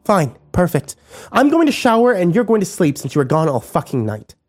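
Male narrator doing a sharp-tongued young woman, high-pitched, furious and defiant. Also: big pitch swings, fairly quick, soft background music. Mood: bitter, steadier. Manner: shouting, swearing, biting.

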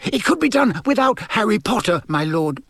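A man's voice: high voice, lively intonation, unhurried, darkish tone, no room sound, studio quality, voice pushed up, full character voice. A man voicing a fearful, servile male henchman in an audiobook, nervous, hesitant and deferential. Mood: anxious pleading.

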